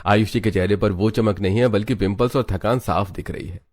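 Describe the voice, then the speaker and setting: A man narrating a cartoon story in a steady storytelling manner. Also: fairly low pitch, brisk, dry recording. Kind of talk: scripted narration.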